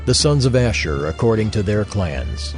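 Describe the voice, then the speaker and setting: A man's voice: lowish voice, very animated, normal speed, faint music behind. A man proclaiming scripted scripture in a formal, declamatory manner, like an official reader. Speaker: list reader.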